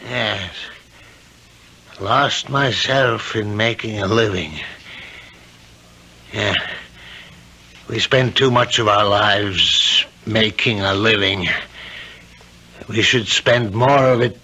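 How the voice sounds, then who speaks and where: An actor playing a weary, self-reproachful businessman father in a radio drama, halting and deliberate. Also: lowish voice, vocal fry, sharp tone, breathy edge, exaggerated voice. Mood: reflective. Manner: halting, deliberate, repeats himself. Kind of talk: 1970s radio drama acting.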